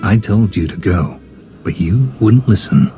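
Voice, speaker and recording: A cautious, resolute knight in a video game. The voice is lowish, measured and firm. Music plays clearly behind it. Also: male voice, animated. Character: resolute, cautious male Jedi knight, calm hero. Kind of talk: scripted video game acting.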